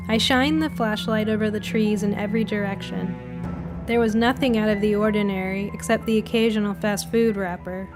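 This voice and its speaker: A woman reading a scripted short story in the first person, as a storybook narrator. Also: mid-range voice.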